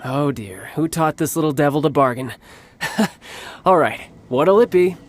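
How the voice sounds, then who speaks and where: A man playing a cocky, playful young warrior and doting older brother in a video game, smooth-talking and teasing. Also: fairly high pitch, expressive, unhurried, a little hiss or hum. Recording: background music under the voice.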